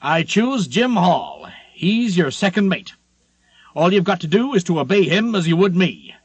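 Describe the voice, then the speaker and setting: Sea captain, acted by a male narrator: stern, scornful, emphatic delivery, raised pitch, dry recording. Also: very animated, measured pace, breathy edge, no room sound, heavily acted. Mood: commanding. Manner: emphatic, commanding. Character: stern, scornful old-time sea captain dressing down his crew. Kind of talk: audiobook character voice.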